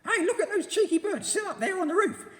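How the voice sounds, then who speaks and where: A man voicing a shrill, nasty old wife who screeches in a high falsetto, with long hall reverb. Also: animated, quick pace, fairly bright tone. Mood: nasty glee. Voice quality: shrieking falsetto.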